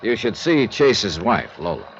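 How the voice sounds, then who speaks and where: A low-voiced hardboiled radio investigator. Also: male voice, very animated, unhurried, gravelly, a little hiss or hum, full character voice. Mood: matter-of-fact, musing. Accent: American. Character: hardboiled, sympathetic male insurance investigator in noir radio. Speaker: lead detective and narrator. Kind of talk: 1950s American radio drama acting. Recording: dull vintage radio recording.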